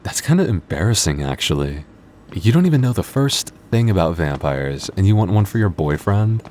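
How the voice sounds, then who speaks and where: Very deep, teasing vampire boyfriend, acted by a man. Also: big pitch swings, normal speed, darkish tone, a little hiss or hum. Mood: teasing, amused.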